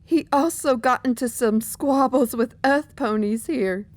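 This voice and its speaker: A woman's voice: high-pitched, measured pace, a little mellow, no echo. A woman voicing a weary, grieving pegasus mercenary captain with a folksy, hesitant way of talking in an audio drama. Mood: troubled.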